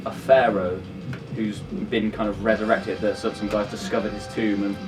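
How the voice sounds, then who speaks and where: Guitarist guy w/ lowish voice, stumbles over words casually, distant mic, music clearly in background. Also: level tone, normal speed. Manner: hesitant, repeats words, chuckles often. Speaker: band guitarist giving album commentary. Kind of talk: casual chat. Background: band's album track playing.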